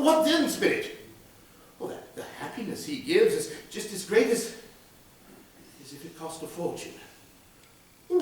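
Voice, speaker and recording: A man's voice: sits high, very echoey, far from the mic, rough recording. A man voicing an old miser, laughing and gushing with breathless exclamations. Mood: earnest, wistful. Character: miserly old man, stirred to giddy joy.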